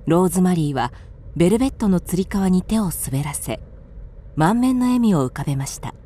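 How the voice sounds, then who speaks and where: A woman's voice: fairly low pitch, animated, fairly quick, mellow. A woman narrating a radio drama from a script as its storyteller.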